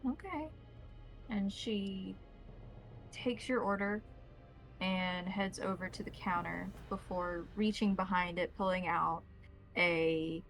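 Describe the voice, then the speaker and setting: A woman narrating a tabletop roleplaying game as game master, describing scenes in deliberate detail. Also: lowish voice, a bit bright, poor audio.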